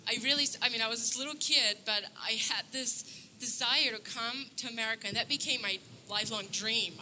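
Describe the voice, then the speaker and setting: A young woman from Bulgaria speaks on a conference stage, earnest and upbeat, often breaking into laughter. Her voice is very bright and sits a little high. She talks with plenty of fillers and restarts, and a faint hiss lies under the microphone sound. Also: rough recording. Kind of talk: stage talk. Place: conference stage before an audience.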